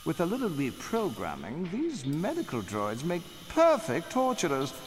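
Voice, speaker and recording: An actor playing a cruel, haughty young Sith lord in an audio drama, with a mocking, theatrical, drawn-out delivery. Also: male voice, a little high, wildly swooping pitch, faint music behind. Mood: gloating. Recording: long hall reverb, noisy audio drama.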